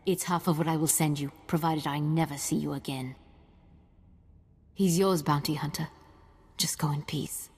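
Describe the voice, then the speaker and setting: Low-voiced, composed noble diplomat. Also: female voice, fairly even intonation, a little mellow, husky, long reverb tail. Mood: grave, measured. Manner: measured, formal, diplomatic. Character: grave, composed noblewoman negotiating as peacemaker. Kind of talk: video game dialogue.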